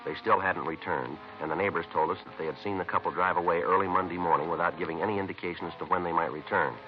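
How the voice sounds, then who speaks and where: An actor playing a terse, methodical American police detective, narrating casework in a clipped, matter-of-fact 1950s radio crime drama style. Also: male voice, deep voice, fairly even intonation, fast, close to an everyday voice. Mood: clipped, deadpan. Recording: muffled vintage radio recording, faint music.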